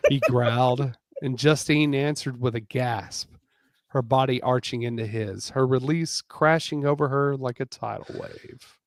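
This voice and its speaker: A man narrating a dramatic romance reading theatrically, with deliberate pauses, on a close microphone. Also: medium pitch, no echo. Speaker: narrator and reader.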